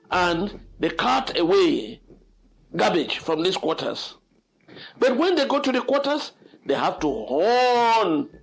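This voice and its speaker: An older man hosting an informal storytelling session, reflecting aloud in an expressive, dramatic, exclamatory way. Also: sits high. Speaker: storyteller host.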